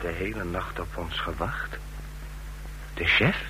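A man's voice: slow, sharp tone, full character voice. An actor playing a sardonic, commanding gang man and jumpmaster, curt and brusque, in a radio drama. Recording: noisy old radio recording with engine rumble.

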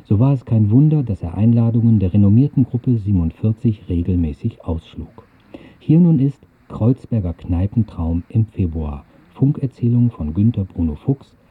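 A man's voice: lowish voice, expressive, normal speed, slightly rough, narrow, tinny audio. A man presenting on radio, reading a scripted introduction to an audio play.

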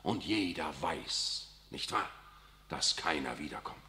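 Fields: Role: a smooth, evasive old cardinal. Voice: bright, lively. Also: male voice, very deep voice, a little slow, heavily acted. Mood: sly. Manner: unctuous, keeps asking "nicht wahr". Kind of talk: 1960s German radio drama acting.

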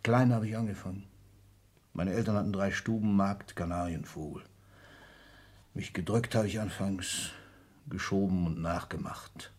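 A proud, ailing old patriarch of industry for a radio play. His voice is low and husky. He declaims in formal, emphatic German stage diction, disillusioned yet used to command. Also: fairly even intonation, normal speed, pauses often, darkish tone. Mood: bitter resignation. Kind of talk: German radio drama acting.

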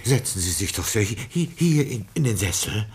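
A man's voice: lowish voice, very animated, normal speed, darkish tone, a little hiss or hum. An actor playing a puzzled, deferential butler in a German radio play, polite and hesitant as he works things out. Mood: flustered, deferential. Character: puzzled, deferential male butler of a haunted castle.

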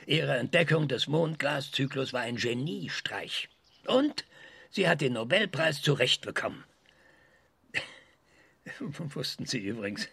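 A man playing a self-assured research scientist in a radio drama, lecturing emphatically with dramatic pauses. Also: medium pitch, creaky, a bit bright, husky, no room sound, full character voice. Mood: enthusiastic, lecturing. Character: excitable, self-assured male research scientist giving an interview.

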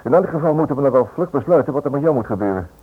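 A man's voice: medium pitch, lively intonation, rapid-fire, husky. An actor playing a stubborn, protective husband and father in a radio drama, urgent and dismissive. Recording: muffled old radio play recording.

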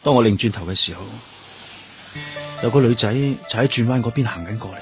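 An actor with a Hong Kong Cantonese accent playing a lonely, grieving, gentle police detective in a radio drama. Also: male voice, medium pitch, fairly quick, natural voice. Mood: wistful, subdued. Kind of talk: radio drama, narrated inner monologue and dialogue. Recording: music under the voice. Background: background music.

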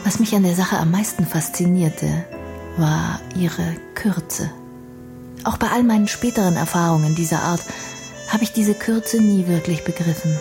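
A woman's voice: on the low side, measured pace, music in the background. An actress playing an intelligent, outspoken young woman in a radio play, speaking in an articulate, reflective and earnest way.

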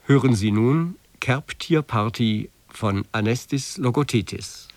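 A man's voice: mid-range voice, animated, measured pace, dry recording. Dry-sounding male radio announcer. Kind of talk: scripted broadcast announcement.

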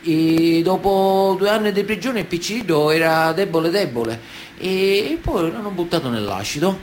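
A chilling Sicilian mafioso in radio drama: Sicilian accent, fairly high male voice, widely swinging intonation, matter-of-fact storytelling. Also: lively intonation, moderate pace, a little hiss or hum. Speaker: actor in radio theatre.

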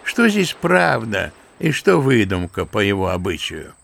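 Old sentimental general for an audio drama, gravelly, fatherly and passionate. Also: on the low side, big pitch swings, measured pace, a bit bright, heavily acted. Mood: musing fervent. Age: older. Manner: fervent, musing, fond and paternal. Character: old kindly sentimental general, grandfather figure. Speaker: actor in audio play. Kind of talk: theatrical radio play acting.

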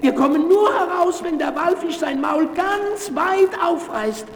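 An actor playing an old, weak, tender-hearted father in a children's radio play, speaking haltingly and with feeling. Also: very high-pitched, expressive, echoey room, big-room sound, full character voice. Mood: weary.

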